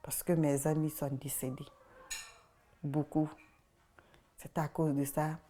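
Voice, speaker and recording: Woman, low mellow voice. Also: level tone, a little hiss or hum. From Guinea. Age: 20s. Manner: halting, plain short sentences. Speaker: interviewee. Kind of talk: documentary testimony.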